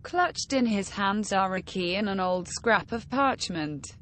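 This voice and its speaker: Slow, expressive fantasy heroine. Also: female voice, medium pitch, slight background noise. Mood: solemn. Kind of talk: fantasy video game dialogue and narration.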